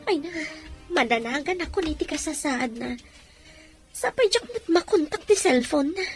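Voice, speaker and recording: A woman's voice: high voice, lively intonation, moderate pace, faint music behind. A woman playing a worried, caring young female friend in an audio drama, earnest and anxious.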